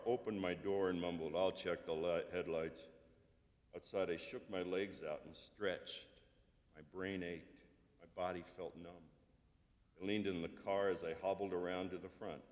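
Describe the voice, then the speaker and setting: A man reading a story aloud to a church congregation in a measured storytelling manner. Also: sits low, a little mellow. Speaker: scripture and story reader. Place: church sanctuary. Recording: long church reverb.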